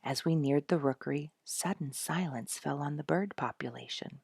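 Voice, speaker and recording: A woman's voice: low-pitched, moderate pace, vocal fry, darkish tone, slightly rough, no room sound, studio quality. A woman storyteller reading a scripted nature narrative aloud.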